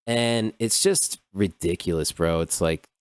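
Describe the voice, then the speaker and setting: Low-voiced guy rambling thru the news on his podcast, swears a lot, says dude constantly, smooth dry sound. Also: a little slow, no echo. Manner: loose, profane, rambling with fillers like "dude". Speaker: podcast host. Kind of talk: casual solo commentary on news.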